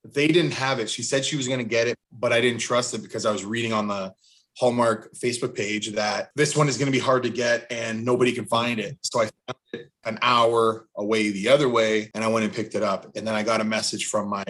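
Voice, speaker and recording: A man's voice: lowish voice, steady tone, brisk, fairly bright tone, poor audio. A man chatting casually, telling personal anecdotes in a sentimental way. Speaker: podcast co-host. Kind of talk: casual chat.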